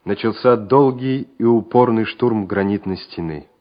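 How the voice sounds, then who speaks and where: A man narrating a wartime radio drama in a measured, solemn, scripted way. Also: lowish voice, natural voice. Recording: dull old radio recording with music.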